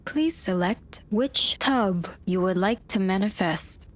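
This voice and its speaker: A polite, impassive computer assistant in a sci-fi drama. It delivers formulaic system prompts very deliberately, at a middling pitch. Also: female voice. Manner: formulaic, measured system announcements. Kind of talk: audio drama acting.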